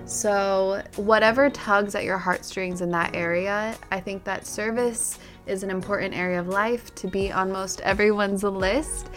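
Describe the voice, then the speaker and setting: A woman's voice: mid-range voice, fairly even intonation, a little slow, few pauses, fairly bright tone, faint music behind. A woman hosting a podcast, giving a reflective self-help monologue and speaking to the listener in an encouraging way. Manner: addresses listener directly, encouraging. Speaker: podcast host.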